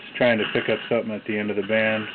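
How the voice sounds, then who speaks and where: A man casually demonstrating a vintage radio, reading out frequencies in a halting mutter. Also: on the low side, level tone. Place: indoors, beside a shortwave radio on a table. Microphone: camera mic, off-screen speaker. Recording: tinny lo-fi camera audio, loud radio static. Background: shortwave radio static and stations.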